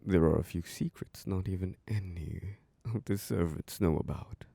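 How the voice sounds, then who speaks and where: A man playing a gravelly seductive vampire lord. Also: dry recording, heavily acted. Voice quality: low gravelly voice. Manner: slow, seductive, soft chuckles. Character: ancient charming vampire lord, male, possessive. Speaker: castle host. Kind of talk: ASMR audio roleplay.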